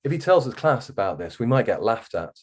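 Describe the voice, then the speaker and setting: A man reading a first-person story aloud as a guest author, in an expressive literary narration style. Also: mid-range voice, fairly quick, breathy edge.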